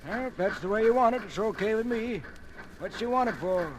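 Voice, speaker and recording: A man's voice: high voice, lively intonation, fairly quick. An actor playing a cheeky, folksy old station man in an old-time radio drama. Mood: teasing. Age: older. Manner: folksy, wisecracking. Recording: noisy old radio recording.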